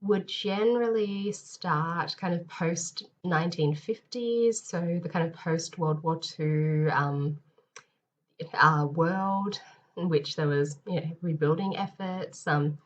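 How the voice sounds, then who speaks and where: Low-voiced woman lecturing with a cold, nasal and hoarse, lots of ums, dull lo-fi recording. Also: slow, a little hiss or hum. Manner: hesitant, many ums and restarts. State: head cold, nasal and losing her voice. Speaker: university lecturer.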